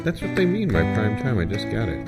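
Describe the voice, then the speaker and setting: Very deep deadpan man over loud guitar. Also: quick pace. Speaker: improv comedy performer. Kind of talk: improvised comedy dialogue. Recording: loud guitar music alongside the voice.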